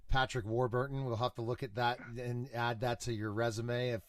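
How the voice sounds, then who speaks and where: A man's voice: medium pitch, flat delivery, normal speed, crowd noise. A man hosting a radio interview in a chatty, promotional way. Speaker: radio show host.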